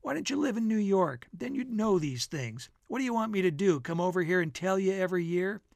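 A reader puts on a brash American man, a wisecracking character from a storybook novel. He is needling and declamatory, pitched fairly high, in a dry studio sound. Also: lively intonation, a little fast, gravelly, a little mellow, no echo. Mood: mock-scolding.

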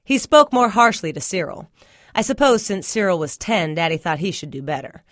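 A woman's voice: fairly low pitch, big pitch swings, normal speed, a bit bright, no echo, clean studio sound. A woman reading a first-person literary short story aloud as scripted narration. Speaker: story reader.

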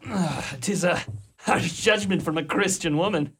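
A man's voice: high-pitched, a little slow, gravelly, fairly bright tone, slightly rough, full character voice. A man playing a nervous, flustered Irishman in a radio play, with an Irish accent and an anxious manner. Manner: flustered, stammering.

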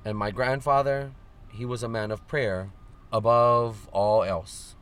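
A man from Oahu giving a measured, earnest personal account as a radio guest. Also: lowish voice, fairly even intonation, a bit bright. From Oahu, Hawaii. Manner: measured, earnest, lists things in series.

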